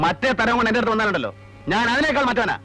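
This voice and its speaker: Arrogant cruel malayali man in an old film drama, scornful, high voice, talks fast, music behind. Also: sing-song, sharp tone, full character voice, poor audio. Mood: sneering. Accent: Indian Malayali. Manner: scornful, mixes Malayalam with English outbursts. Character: arrogant, cruel, domineering college man with a contemptuous temper. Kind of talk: heated film drama dialogue.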